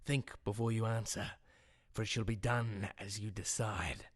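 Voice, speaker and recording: A man playing a sinister, taunting, monstrous villain in an audio drama, with slow, theatrical, grandiose delivery. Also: on the low side, steady tone, dry recording, clean studio sound. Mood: menacing, tempting.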